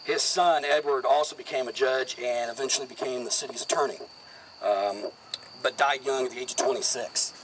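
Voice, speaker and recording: A middle-aged man talks a group through a cemetery in a plain, casual, explanatory way, with a medium-pitched voice. He is recorded outdoors from a camera some way off. Faint crickets and open-air noise sit behind him. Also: fairly even intonation, moderate pace, a bit bright, rough recording. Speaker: cemetery tour guide. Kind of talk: outdoor walking tour talk. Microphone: far camera, no mic.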